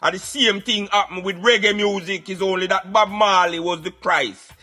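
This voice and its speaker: A man acts as an opinionated Jamaican Rasta-style commentator with a high, forceful, bright voice. He lectures emphatically in patois, repeating words as he drives points home, over a light music bed. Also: fairly even intonation, normal speed, exaggerated voice. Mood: indignant. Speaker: radio show commentator. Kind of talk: satirical social commentary in patois.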